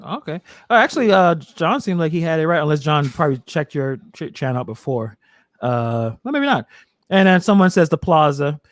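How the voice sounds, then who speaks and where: A man's voice: fairly high pitch, very animated, brisk. A man hosting a casual livestream interview, chatty and reading viewer messages aloud. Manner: chatty, laughs, reads chat aloud. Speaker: host of a livestream.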